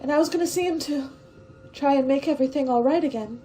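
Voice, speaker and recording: High, soft-toned frightened woman stowaway played by an actress. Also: quick pace, faint music behind, close to an everyday voice, poor audio. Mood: wistful, sad. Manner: hesitant, tender, emotional. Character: frightened, wistful young woman stowaway facing death. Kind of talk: 1950s science-fiction radio drama acting.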